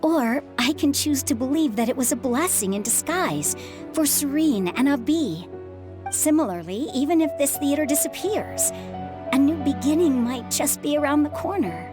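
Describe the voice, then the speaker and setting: An actress voicing a kind adult mother in a video game, gentle and reassuring. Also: high-pitched, lively intonation, normal speed. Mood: reflective. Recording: game soundtrack music behind.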